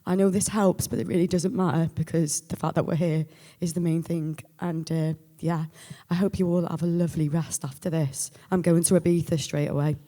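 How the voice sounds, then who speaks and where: Husky woman in an echoey hall. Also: deep voice, fairly even intonation, fairly quick, few pauses, dark tone. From Rhyl, North Wales. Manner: candid, joking, breathless rambling. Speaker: award winner, writer and performer. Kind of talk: emotional off-the-cuff acceptance speech. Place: stage at an awards ceremony in a hall. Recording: echoey hall with long reverb.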